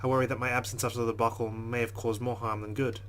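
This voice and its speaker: A man's voice: mid-range voice, flat delivery, fast talker, loud music behind the voice. Casual man reading game text aloud. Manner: casual, joking asides. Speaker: let's play gamer.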